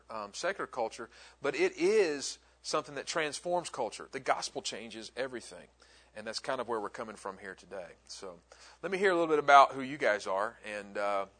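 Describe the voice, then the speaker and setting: A man's voice: medium pitch, pushed and bright. A middle-aged man from the American South teaching a class, chatty and friendly, wandering into asides. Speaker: instructor. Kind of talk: classroom talk.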